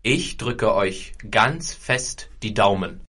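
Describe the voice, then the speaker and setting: A man from Germany reads short scripted sentences for a language exam with careful, slow delivery. His voice sits somewhat low. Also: lively intonation, sharp tone, husky. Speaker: exam recording speaker.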